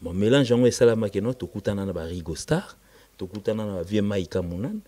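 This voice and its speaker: A man with a Congolese accent and Lingala as his first language speaks as an interviewed musician, emphatic and repetitive, switching between halting French and Lingala. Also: fairly low pitch, expressive, dark tone. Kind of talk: casual interview, recounting band history.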